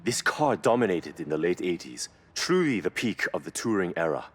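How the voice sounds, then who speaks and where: An actor playing a knowledgeable, formal classic car expert in video game cutscene dialogue. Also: male voice, sits low, very animated, a little hiss or hum. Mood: reflective. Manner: measured, formal, reverent about cars.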